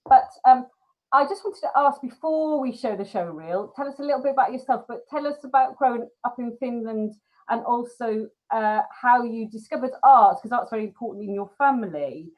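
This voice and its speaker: A woman's voice: fairly high pitch, moderate pace, a little mellow, low-quality recording. A woman hosting a casual live filmmaker Q&A, talking in a chatty, informal way.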